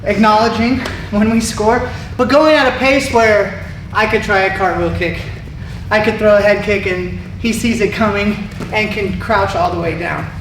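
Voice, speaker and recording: A man talks through sparring pace as a Muay Thai coach. He sounds relaxed and explanatory, and he calls out cues between exchanges. He is heard from a distance in an echoey gym with steady background noise. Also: sits high, normal speed, creaky. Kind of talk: live online Q&A, coaching explanation. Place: martial arts gym.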